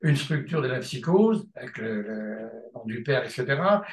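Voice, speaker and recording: A man's voice: mid-range voice, sing-song, moderate pace, reverberant. A man teaching a seminar, talking off the cuff, often restarting and stalling on filler sounds, then hammering a point with repetition. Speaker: seminar lecturer in psychoanalysis.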